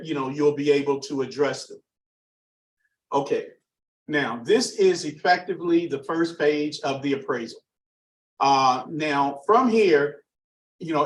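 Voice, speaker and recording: A slightly hoarse, froggy-voiced man giving an underwriting training presentation, halting with many fillers and restarts. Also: a little high. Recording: echoey room, far from mic.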